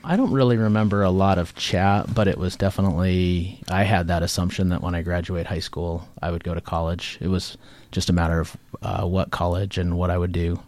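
A man from Maine, a judge, answering interview questions in a relaxed, measured way. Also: low voice, dry recording. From Maine, USA. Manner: relaxed, measured, some fillers. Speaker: guest, judge. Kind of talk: radio interview.

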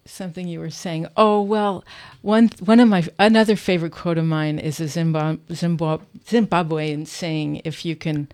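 A woman hosting a casual radio interview in a studio, enthusiastic but halting as she searches for words. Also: sits low, steady tone, darkish tone. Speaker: radio host. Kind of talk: casual unscripted on-air chat.

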